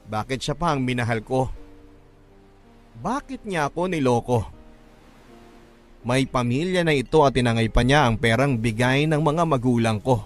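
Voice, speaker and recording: A man with a Filipino accent reads a first-person story in an emotive, earnest, classic radio drama narration style. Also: mid-range voice. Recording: narration over background music. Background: background music.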